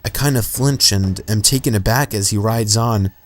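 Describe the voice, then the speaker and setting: A man with a fairly low voice reads creepy true stories in a calm, steady, scripted way. Soft music runs quietly beneath his narration. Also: moderate pace. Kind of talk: scripted horror story narration.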